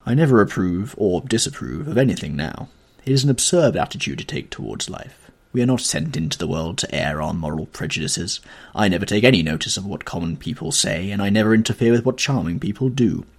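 A man voicing a languid, witty aristocratic gentleman, with a drawling manner, in a storybook reading. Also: fairly low pitch, big pitch swings, a little fast, husky, exaggerated voice.

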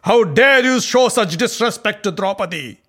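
A man's voice: sits high, expressive, moderate pace, fairly bright tone, voice pushed up, heavily acted. A man doing a roaring, furious warrior. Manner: roaring, threatening. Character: mighty enraged warrior, young man.

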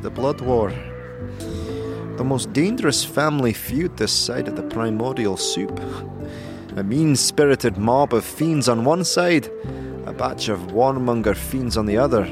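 A man's voice: medium pitch, expressive, unhurried, voice pushed up. A man voicing a jovial, weary old war veteran in a fantasy audio drama, rambling in a folksy way. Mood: weary, grim. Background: prominent background music.

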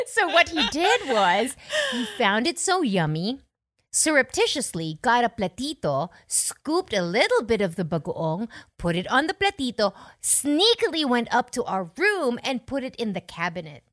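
Casting: Filipina woman, young adult, animated storyteller, bright and dry-sounding. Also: a little high, unhurried, dry recording. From Philippines. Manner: animated storyteller, laughs often, mixes English and Filipino. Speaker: podcast co-host. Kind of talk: casual chat.

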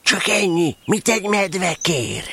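High-pitched naive little bear. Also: male voice, big pitch swings, vocal fry, sharp tone, slight background noise, full character voice. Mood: contented, versifying. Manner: hesitant, dim-witted, eager. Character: naive, hungry, good-natured little male teddy bear. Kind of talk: children's audio drama acting.

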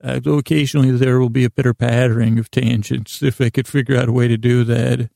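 Man, very creaky gravelly voice, dark mellow tone, halting stammering delivery, meandering bedtime monologue, self-deprecating. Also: mid-range voice, flat delivery, no room sound, studio quality. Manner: halting, stammering, meandering, keeps restarting words. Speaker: host of a bedtime sleep podcast. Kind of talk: rambling, tangential bedtime monologue.

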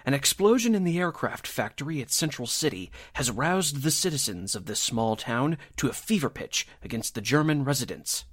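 A man's voice: medium pitch, big pitch swings, moderate pace, few pauses, fairly bright tone. A man narrating a 1940s-style radio drama as its announcer, in scripted delivery.

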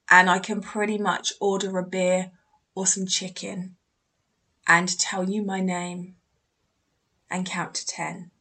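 A woman's voice: mid-range voice, fairly bright tone. A British woman hosting a podcast, answering a listener's question in a chatty, self-deprecating way. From Britain. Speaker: podcast host, mediumship teacher.

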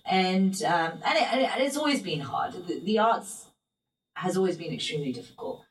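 A woman guest talking thoughtfully in an interview, pausing with fillers and restarting her sentences. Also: on the low side, animated. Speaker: guest singer. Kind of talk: reflective interview talk. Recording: roomy and distant from the mic.

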